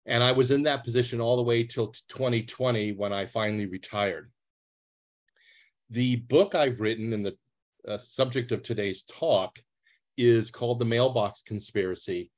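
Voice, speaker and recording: A man's voice: mid-range voice, moderate pace. A man, giving an earnest, plain-spoken talk over a video call as a retired public defender. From US East Coast.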